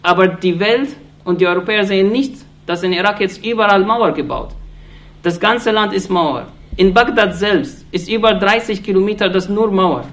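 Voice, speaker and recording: A man from Iraq gives an impassioned political speech in halting German, restarting phrases often and sounding earnest and accusatory. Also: sits high, fairly bright tone. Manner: halting non-native German, frequent restarts and fillers. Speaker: speaker addressing an audience.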